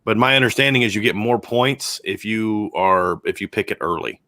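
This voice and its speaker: A man with a deep, low voice that has gone hoarse from a sore throat, talking football on a live-stream podcast. He chats loosely, doubling back and restarting his sentences as he works out his answers. The sound is dry and close, with no room around it. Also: normal speed, sharp tone, dry recording. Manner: chatty, restarts sentences often while thinking aloud. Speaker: podcast co-host and football analyst. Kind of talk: casual live-stream chat answering viewer questions.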